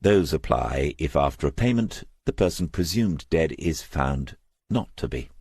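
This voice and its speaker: Man voicing a brisk, businesslike young insurance woman. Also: very deep voice, animated, a little mellow, slightly rough, dry recording, studio quality, full character voice. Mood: corporate, formal.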